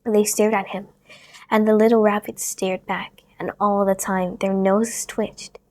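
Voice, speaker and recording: Gentle woman reading a children's story. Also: mid-range voice, a little slow. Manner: gentle, expressive read-aloud, sometimes hushed. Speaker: storybook reader.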